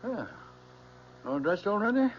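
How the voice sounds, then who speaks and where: An actor playing a gentle, persuasive middle-aged father, a professor, in a tender and measured radio drama style. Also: high-pitched, sing-song. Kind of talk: 1970s radio drama acting. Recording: dull old radio broadcast, faint hum.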